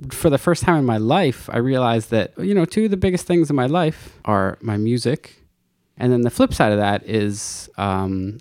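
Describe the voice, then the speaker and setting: Man, middle-aged, low voice, gravelly, reflective, dry recording. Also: a little mellow, no echo. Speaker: singer-songwriter and guitarist. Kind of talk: casual interview about his song.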